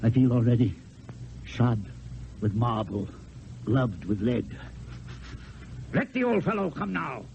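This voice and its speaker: An actor playing a proud, lovelorn, dying poet-swordsman in an old-time radio play, with theatrical, declamatory delivery and drawn-out poetic phrases. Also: medium pitch, lively intonation, gravelly, a little hiss or hum. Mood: defiant, dying. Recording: dull lo-fi old radio, music.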